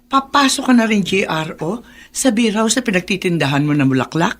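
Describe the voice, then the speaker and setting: A woman's voice: medium pitch, big pitch swings, a little fast. An actress playing a weary, worried mother with a Filipino accent and plaintive delivery in a radio drama. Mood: distressed, pleading. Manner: plaintive, emotional. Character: weary, worried, protective poor mother of grown daughter. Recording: music behind the voice.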